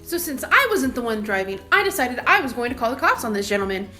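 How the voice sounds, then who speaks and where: A young woman vlogger telling a personal story in a casual, animated and emphatic, indignant way. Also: fairly high pitch, quick pace, soft background music.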